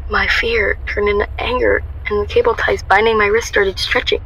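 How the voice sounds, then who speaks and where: A woman's voice: medium pitch, little pitch movement, moderate pace, gravelly, a bit bright, husky, poor audio. A woman acting as an anxious, wry young shop worker who narrates her own story in a radio drama. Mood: tense, fearful.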